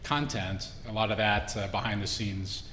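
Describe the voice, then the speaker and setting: A man moderating a conference panel, casual and halting, often repeating words. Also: lowish voice, steady tone, pushed and bright. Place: conference hall. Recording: echoey room, faint hum.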